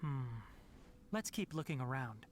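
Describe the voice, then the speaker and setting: An actor playing a composed young scholar who speaks in a measured, polite, matter-of-fact way. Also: male voice, mid-range voice, sing-song. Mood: calm. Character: calm, composed young male forest scholar.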